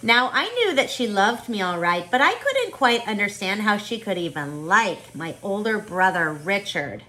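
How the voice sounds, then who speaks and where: A woman teaching on a video call, reading aloud and chatting with children in an expressive, encouraging way. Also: medium pitch, normal speed, rough recording. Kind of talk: online storybook read-aloud with casual chat.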